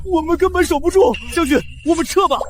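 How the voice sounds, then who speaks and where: An actor playing an anxious, dutiful military officer in a costume war drama. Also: male voice, extremely high pitch, quick pace, noisy background. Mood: alarmed. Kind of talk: period war drama acting. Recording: dramatic music under the dialogue.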